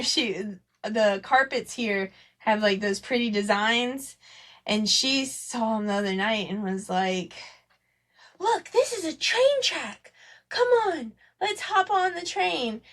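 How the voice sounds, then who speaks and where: A woman co-hosting a podcast in casual conversation, rambling a little as she thinks aloud. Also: a little high. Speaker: podcast co-host. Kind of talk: casual podcast chat about family devotions.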